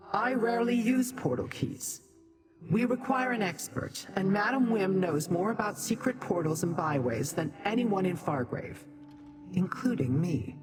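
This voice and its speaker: An actress playing an imperious, scholarly demon sorceress in a video game, speaking formally and with scorn for mortals. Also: female voice, on the low side. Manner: formal, measured, haughty delivery.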